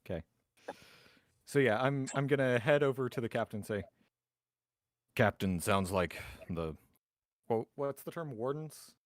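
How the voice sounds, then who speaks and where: A man's voice: medium pitch, animated, a little slow, pauses often, no room sound, clean studio sound. A man playing a tabletop roleplaying game, slipping casually between chat and speaking for his character.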